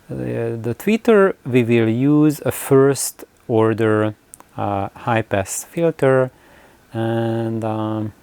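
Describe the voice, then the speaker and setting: Male hobby-channel host: unhurried, halting, casual delivery, smooth clean tone, mid pitch. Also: a little mellow, no room sound. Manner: halting, pauses mid-sentence. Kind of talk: casual unscripted explanation to camera.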